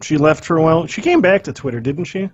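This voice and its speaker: Guy cohosting podcast, slightly high voice, sweary casual talk, bit muffled dry audio. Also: sing-song, quick pace, no echo. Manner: frequently swearing, informal. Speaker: podcast co-host.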